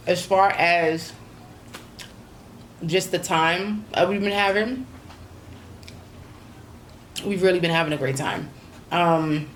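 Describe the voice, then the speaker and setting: A woman's voice: lowish voice, a little hiss or hum. A woman, chatting casually through a vacation vlog recap with lots of ums and you-knows. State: slightly hoarse. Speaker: vlogger.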